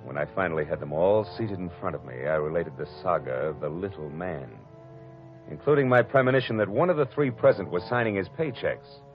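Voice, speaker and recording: A low-voiced, hard-boiled private eye, tough and wry in a 1940s radio crime drama. The recording is dull and old, with faint music behind. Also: male voice, lively intonation, brisk. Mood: wry narration. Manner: wisecracking, tough. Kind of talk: 1940s radio acting, first-person noir narration.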